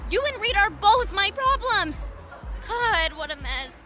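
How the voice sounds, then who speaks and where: Young female lead, high and lively, blunt and protective, music behind. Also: measured pace, a loud crowd, heavily acted. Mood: exasperated. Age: young adult. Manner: blunt, forceful, swearing. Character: fierce, exasperated young woman protecting her reckless friends. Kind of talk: naturalistic screen drama acting. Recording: background music under dialogue.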